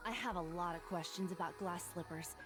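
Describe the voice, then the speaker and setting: A woman's voice: on the low side, fairly quick, music in the background, close to an everyday voice. A woman voicing an eager, inquisitive tinkerer in a game, casual in manner. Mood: eager, curious. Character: eager, curious female tinkerer.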